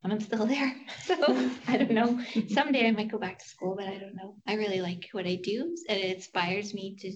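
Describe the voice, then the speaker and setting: A woman's voice: mid-range voice, steady tone, fairly quick, muffled recording. A woman who works as an early childhood educator and center supervisor, telling her own story in an easygoing, reflective way with light fillers. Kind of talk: casual personal story.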